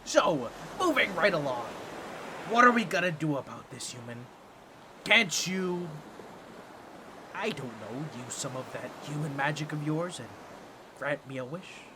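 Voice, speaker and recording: A man voicing an excitable, boastful talking octopus in a comedic audio roleplay, with a nasal, squawky voice and a fussy, theatrical, pompous way of talking to the listener. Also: fairly high pitch, measured pace, pauses often, noisy background. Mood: sly, flattering.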